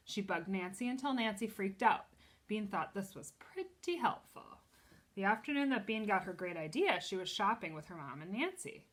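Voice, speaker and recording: Woman reading a children's storybook aloud. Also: lowish voice. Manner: expressive, pauses to explain pictures and ask questions.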